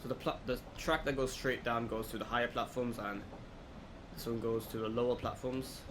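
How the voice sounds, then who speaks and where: A man giving casual, low-key let's play commentary, thinking aloud as he goes. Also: moderate pace. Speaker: gaming video host. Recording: clear background noise, steady hum.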